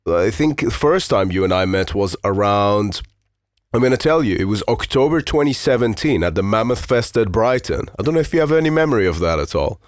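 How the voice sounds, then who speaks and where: A man's voice: lowish voice, lively intonation, fairly quick, no echo, clean studio sound. A man from Iran hosting a podcast, reading a scripted intro and then chatting casually with his guest, swearing freely and using lots of fillers. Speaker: podcast host.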